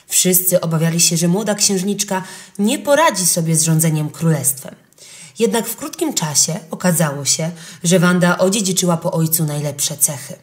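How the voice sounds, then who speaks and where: A woman narrating a children's legend in a calm, clear storybook reading style. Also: fairly low pitch, moderate pace.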